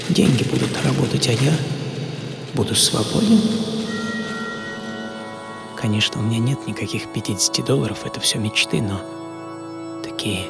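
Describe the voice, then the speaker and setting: An actor playing an earnest, dreamy storyteller who recalls a poor boyhood, in a theatrical, reflective radio monologue. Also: male voice, medium pitch, vocal fry. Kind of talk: dramatic first-person radio monologue. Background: music.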